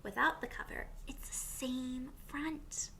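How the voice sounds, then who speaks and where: A woman reading a picture book aloud to young children as a teacher would, expressively, with pauses and questions for her listeners. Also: high-pitched, creaky, bright, forceful tone, in a whisper.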